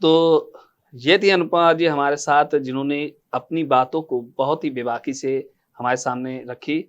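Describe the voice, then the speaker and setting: A man's voice: a little high, steady tone, unhurried, muffled recording. A man hosting a formal news interview.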